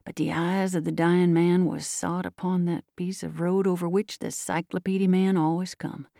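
A woman's voice: lowish voice, little pitch movement, moderate pace, gravelly, warm tone, dry recording, studio quality. A woman voicing a folksy old-time rustic storyteller in country dialect, drawing out a yarn about the neighbors. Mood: solemn, mock-tragic.

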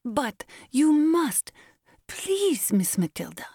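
Storybook servant woman, acted by a woman reader: high, mellow, pleading, scolding, insistent and drawn out. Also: sing-song, takes it slowly, dry recording, studio quality, full character voice.

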